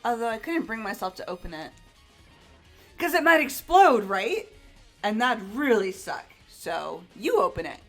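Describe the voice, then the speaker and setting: Woman as chirpy, jittery schoolgirl sidekick for a game, high voice, slangy and casual, faint music bed. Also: very animated, unhurried, heavily acted. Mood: casual, hesitant. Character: Hina, bubbly, naive, nervous teenage schoolgirl. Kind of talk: acted visual novel game dialogue. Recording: music behind the voice.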